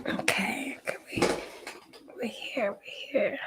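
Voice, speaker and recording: A woman with a hoarse voice from being sick, hosting a casual live-streamed interview over a video call. She giggles often and chats loosely with many fillers. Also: on the high side, takes it slowly, in a whisper, poor audio. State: hoarse from illness. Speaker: interview host. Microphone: computer mic on a video call.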